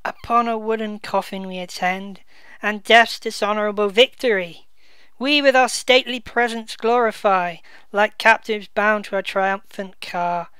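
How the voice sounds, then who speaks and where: A woman reading the part of a grieving noble duke at a king's funeral, declaiming verse slowly and loudly in her own voice. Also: medium pitch, steady tone, smooth, no room sound, close to an everyday voice. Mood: bitter. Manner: slow, loud, declaiming verse.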